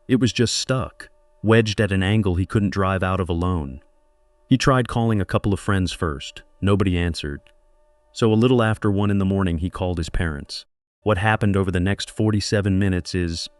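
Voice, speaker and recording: A man narrating a scripted true-crime documentary in measured, dramatic short phrases. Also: sits low, clean tone, no room sound.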